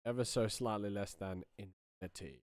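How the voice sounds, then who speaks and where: A man's voice: on the low side, fairly even intonation, moderate pace, dry recording, studio quality. A man in his thirties, a sound designer giving casual, fragmented commentary as he works, half to himself. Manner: muttering, fragmented phrases while concentrating. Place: small home room, indoors.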